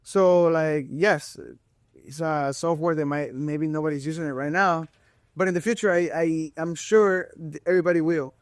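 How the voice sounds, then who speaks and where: A young man hosting a casual interview, talking in a relaxed, slangy way. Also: a little high, normal speed, dry recording. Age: young adult. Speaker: host.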